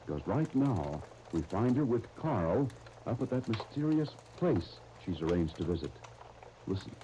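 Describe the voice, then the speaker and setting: A man's voice: on the low side, very animated, moderate pace, dark tone, a little hiss or hum, low-quality recording. A man narrating a 1930s radio serial as its announcer.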